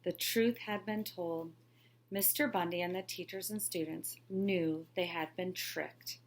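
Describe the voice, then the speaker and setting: A woman, a teacher, narrating a children's picture book aloud in a clear, expressive storytelling manner. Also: on the low side, unhurried, a little hiss or hum. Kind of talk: children's read-along narration.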